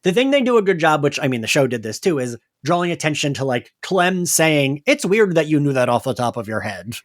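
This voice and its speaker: A man hosting a podcast, casually recapping a story in a chatty way full of fillers and quick to laugh. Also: fairly high pitch, sing-song, no room sound, studio quality. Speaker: podcast host. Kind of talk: casual recap chat about an audio drama.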